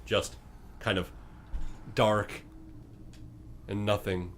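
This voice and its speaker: A man improvising tabletop game narration as game master, building scenes in halting fragments. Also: on the low side, little pitch movement, a little hiss or hum.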